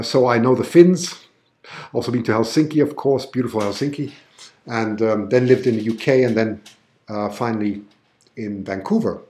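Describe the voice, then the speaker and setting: A man's voice: medium pitch, a little mellow, reverberant. German-born man with a mixed accent. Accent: mixed international with German roots. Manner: chatty, enthusiastic, restarts words often. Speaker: livestream host showing an eclipse. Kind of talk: live commentary. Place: outdoors in a backyard at night.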